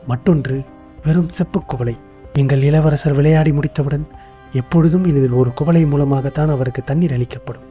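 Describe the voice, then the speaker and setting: An actor voicing a wise, cautious royal minister in a cartoon, speaking formally and in a measured way. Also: male voice, on the high side, dark tone, natural voice. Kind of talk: cartoon voice acting.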